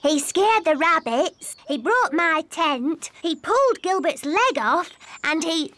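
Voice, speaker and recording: An actor playing an expressive, bossy little princess of about four in a children's cartoon, with emphatic, childlike delivery. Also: very high-pitched, takes it slowly, dry recording, heavily acted. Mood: sulky. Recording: music behind the voice.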